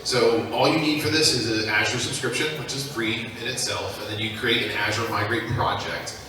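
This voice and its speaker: A man's voice: lowish voice, fairly even intonation, normal speed. An American man on a conference panel, speaking hesitantly with many fillers. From United States. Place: large conference hall. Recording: echoey hall, distant mic, crowd behind.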